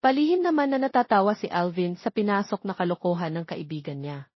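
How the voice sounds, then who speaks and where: A woman's voice: mid-range voice, animated, brisk, slightly rough. A woman narrating a scripted romance story, voicing the dialogue as the storyteller. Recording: muffled lo-fi, roomy echo.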